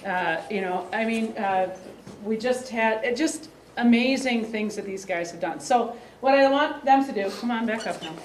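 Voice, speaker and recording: Woman presenter at a community meeting, rambling, heard from a distant mic in a roomy space. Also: mid-range voice. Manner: rambling, halting with fillers and restarts. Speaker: exchange program coordinator. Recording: roomy sound from a distant mic, faint hiss.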